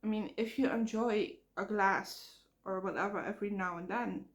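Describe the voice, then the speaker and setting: A woman's voice: medium pitch, steady tone. Woman with a cracking, cold-ridden voice. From Netherlands. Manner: frank, halting, repeats words. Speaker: vlogger. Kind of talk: casual rambling vlog. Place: outdoors near traffic.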